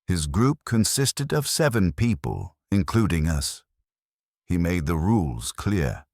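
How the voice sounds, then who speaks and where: Male narrator, extremely low voice, grave first-person storytelling, dry close sound. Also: very animated, slow, darkish tone, no room sound.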